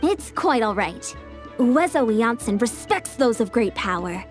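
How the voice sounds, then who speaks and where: An actress playing an earnest young female warrior officer in a video game, speaking in a formal, measured, courteous way. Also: on the high side, big pitch swings, faint music behind. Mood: gracious.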